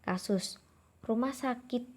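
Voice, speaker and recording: A woman's voice: fairly high pitch, slow pace, darkish tone. Friendly Javanese woman tutoring exam questions. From Java. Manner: friendly, informal, addresses viewers as friends. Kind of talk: explaining exam questions to viewers.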